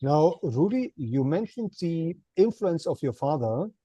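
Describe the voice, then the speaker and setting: A man's voice: fairly high pitch, big pitch swings, unhurried, mellow, breathy edge, no echo. A man hosting an interview, asking his guest polite, formally worded questions. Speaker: interview host.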